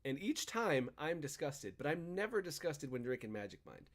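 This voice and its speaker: A man's voice: fairly high pitch, big pitch swings, moderate pace. A man hosting a podcast, talking in casual banter and chatty ad reads with an emphatic, swinging delivery. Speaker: podcast and stream host.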